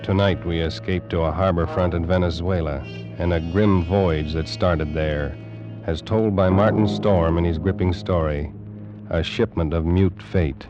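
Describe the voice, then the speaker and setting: A man announcing a radio suspense drama in an ominous, measured 1940s style, on an old recording. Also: deep voice, fairly even intonation, highs cut off. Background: music.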